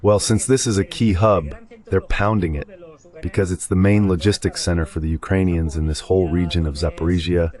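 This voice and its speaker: A man's voice: low voice, steady tone, brisk, darkish tone. A man giving conversational, explanatory military commentary as a guest analyst, in a translated voice-over. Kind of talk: live war commentary read as an AI voice-over translation.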